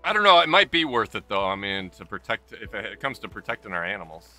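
Bright, low-voiced man, animated gamer. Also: fast talker, soft background music. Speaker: game streamer. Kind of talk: casual gaming banter with friends and stream chat.

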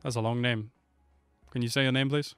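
A man's voice: mid-range voice, fairly even intonation, brisk, fairly bright tone, smooth, no echo, soft background music. A man in his twenties giving slangy, offhand reaction commentary into a close desk microphone. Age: 20s. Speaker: reaction video host.

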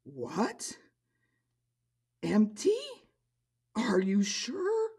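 A woman playing a bold male puppet disciple, telling a children's story in an earnest, expressive way. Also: mid-range voice, extremely slow, slightly rough, exaggerated voice. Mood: alarmed. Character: bold, eager puppet disciple Simon Peter, a man.

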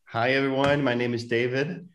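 A man in his forties from Switzerland, speaking on a video call panel in a measured way and sometimes searching for his words. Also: mid-range voice, fairly even intonation, fairly bright tone. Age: 40s. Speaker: panel guest, author.

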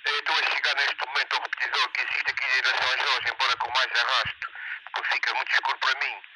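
A man chatting courteously and a little haltingly as a ham radio operator, heard through a two-way radio. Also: medium pitch, flat delivery. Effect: two-way radio transmission. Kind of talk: casual radio contact chat. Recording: noisy handheld radio speaker outdoors.

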